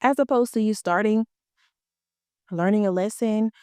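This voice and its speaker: A woman's voice: medium pitch, moderate pace, dark tone, clean tone, no room sound, studio quality. A woman in her early thirties hosting a motivational podcast, talking in a chatty, emphatic, slangy way, a little stuffy from a cold. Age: 30s. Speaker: podcast host. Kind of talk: casual motivational podcast talk.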